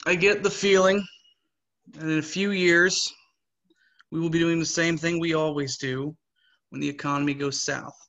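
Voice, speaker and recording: A young man voicing a weary, disillusioned male investor, with quiet, resigned delivery at a table read over a video call. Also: on the high side, fairly even intonation, moderate pace, long pauses. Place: small home room. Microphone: webcam on a video call. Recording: slightly muffled webcam audio.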